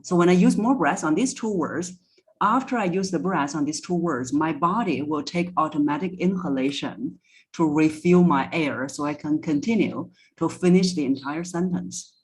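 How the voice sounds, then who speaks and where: A woman speaking as a guest voice coach in a podcast interview, explaining in a deliberate, instructive way and stressing key words. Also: sits low, low-quality recording.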